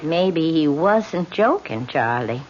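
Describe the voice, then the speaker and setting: An actress playing an excitable, morbidly nosy woman in an old-time radio mystery. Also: low-pitched, takes it slowly, exaggerated voice. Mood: insinuating. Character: excitable, morbidly nosy, suggestive female lodger. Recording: muffled noisy old radio broadcast.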